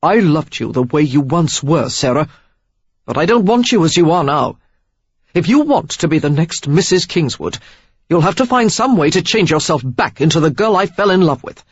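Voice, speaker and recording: Brief: woman doing an outraged, straitlaced young suitor, husky and clipped. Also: low-pitched, animated, normal speed, no room sound. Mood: stern, outraged. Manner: stern, clipped and reproachful. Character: stern, prudish young man, easily outraged. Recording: slightly dull lo-fi recording.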